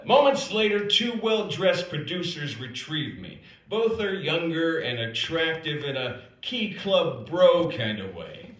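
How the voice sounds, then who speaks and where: American male storyteller, higher-pitched, bright forceful voice, wry and self-deprecating, scripted comic monologue, roomy live recording. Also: very animated, unhurried, few pauses. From United States, South Dakota. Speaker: storyteller performing own written piece. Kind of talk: scripted comic personal narrative performed live. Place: indoor performance space at an acting institute.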